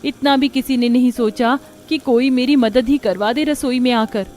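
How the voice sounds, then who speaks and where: A woman as a meek, high-voiced young bride. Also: fairly even intonation, normal speed, darkish tone, a little hiss or hum, natural voice. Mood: weary, polite. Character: meek, patient young new bride, pleading and dutiful. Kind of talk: dramatized Hindi moral story cartoon.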